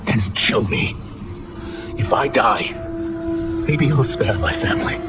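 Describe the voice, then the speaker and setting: A man's voice: medium pitch, slow, lightly acted. A man playing a guilt-ridden, desperate enslaved shapeshifter in a video game, earnest and pleading. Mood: desperate anguish. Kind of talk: dramatic video game acting. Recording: distant, under loud cinematic music.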